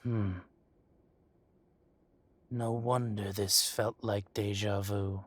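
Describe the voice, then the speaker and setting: A man acts out an arrogant dragon crime boss with a low voice and very slow, deliberate delivery. He sounds civil on the surface and threatening underneath, like a villain in an audio drama, recorded close and dry. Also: flat delivery, dry recording. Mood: coolly menacing. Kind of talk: scripted roleplay audio drama monologue.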